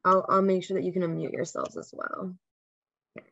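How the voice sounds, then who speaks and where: Woman, session moderator, fairly low voice, casual, lo-fi, dry. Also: steady tone, fairly quick, gravelly, fairly bright tone, no room sound. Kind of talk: casual logistics talk. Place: online video call. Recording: dull lo-fi call audio, dry.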